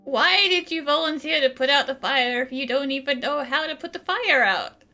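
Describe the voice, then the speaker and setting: A woman's voice: high-pitched, animated, normal speed, sharp tone, soft background music. A woman streaming a video game, giving casual, chatty commentary and talking back to the characters. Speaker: gaming streamer.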